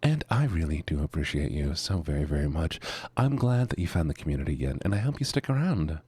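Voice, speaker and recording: A man streaming live with a deep voice, chatting playfully with viewers and explaining microphones into a close studio mic. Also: big pitch swings, fast talker, dark tone, breathy edge, no echo. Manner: playful and goofy, repeats words, sudden high-pitched yelps and barks; gushing and sincere when thanking viewers. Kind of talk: casual live-stream chat. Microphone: studio microphone at a streaming desk.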